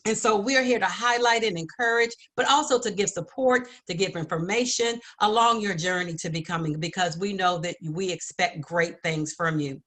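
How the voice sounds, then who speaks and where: A woman's voice: fairly low pitch, normal speed, few pauses, a bit bright. A woman hosting an interview show in an effusive, affirming and encouraging way.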